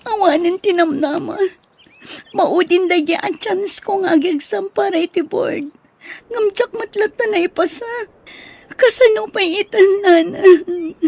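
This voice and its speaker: A whiny anxious daughter, super high voice, very dry close sound, radio drama. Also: female voice, a little slow, a little mellow, no echo. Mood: plaintive, distressed. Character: anxious, plaintive young daughter who leans on her mother.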